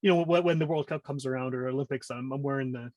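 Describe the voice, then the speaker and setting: Quick-talking Canadian man in casual conversation. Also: mid-range voice, background noise. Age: around 40. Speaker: podcast guest.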